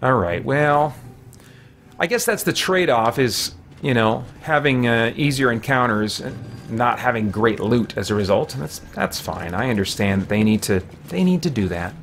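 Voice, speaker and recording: A man in his forties giving casual livestream game commentary, halting as he thinks aloud. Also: medium pitch, lively intonation, a bit bright, a little hiss or hum. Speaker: video game streamer and podcast host. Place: small room at home, indoors.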